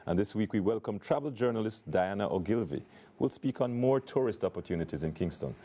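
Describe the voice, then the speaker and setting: A middle-aged man anchoring a TV news program, formal and brisk as he introduces and questions a guest. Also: on the low side, animated, dark tone. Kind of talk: TV news presenting and interviewing. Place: TV news studio. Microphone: lapel mic.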